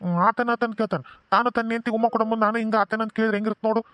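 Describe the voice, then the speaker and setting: A man's voice: sits high, little pitch movement, very fast, a bit bright, no room sound. A man playing a harried grown son who complains and apologizes. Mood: complaining.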